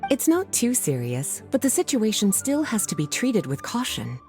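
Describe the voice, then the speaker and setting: A poised adult woman librarian in a video game. Her mellow, velvety voice moves in lively curves, indulgent and gently teasing, with soft music behind. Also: on the high side, moderate pace. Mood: measured.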